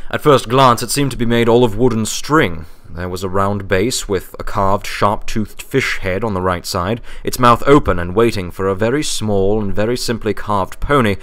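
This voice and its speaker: A man's voice: fairly low pitch, normal speed, few pauses, a bit bright. A man narrating a scripted fantasy story as an audiobook reader.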